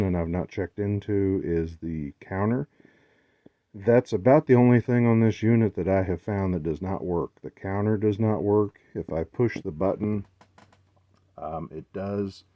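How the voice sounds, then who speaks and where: A man giving a casual, unscripted show-and-tell, speaking haltingly as he thinks aloud. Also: lowish voice. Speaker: collector presenting his gear. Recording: dull, muffled lo-fi sound.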